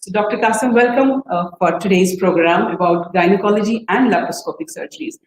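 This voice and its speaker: A woman doctor hosts a live medical interview at a mid pitch. She asks her questions with fillers and repeated phrases. The room sounds echoey, with a faint hiss underneath. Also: fast talker. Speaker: host and general practitioner.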